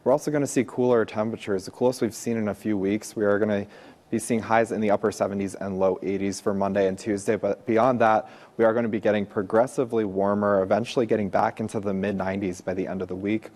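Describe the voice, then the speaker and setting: A man's voice: on the low side, fairly even intonation, fast talker, a little mellow. An American man giving a weather forecast on television in a conversational broadcast style.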